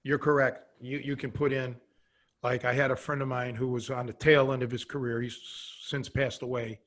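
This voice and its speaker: A man's voice: mid-range voice, flat delivery, normal speed. A middle-aged American man chatting casually on a podcast, rambling through anecdotes as he goes. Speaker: podcast host.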